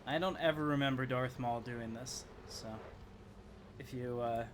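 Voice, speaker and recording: A man's voice: mid-range voice, steady tone, unhurried, slight background noise. A man streaming a video game, giving casual live commentary as he plays. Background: game music.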